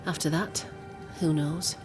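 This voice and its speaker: Game role: resolute female conspirator, lower register, formal and measured, strong music bed. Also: steady tone. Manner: formal, measured, deliberate phrasing. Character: composed, resolute woman plotting against a captain. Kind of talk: video game cutscene acting. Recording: prominent music underneath.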